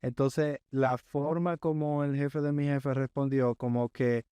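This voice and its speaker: A man who is a guest on a podcast, telling a work story in a measured way and often checking in with his listener. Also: mid-range voice, fairly even intonation, dark tone, clean tone, no room sound. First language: Spanish. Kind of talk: casual interview chat.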